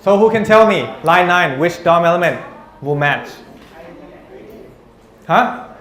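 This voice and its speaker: A man's voice: high-pitched, expressive, slow, a bit bright. A male university lecturer teaching a class, teasing students and calling on them by name. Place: lecture room. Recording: echoey lecture room.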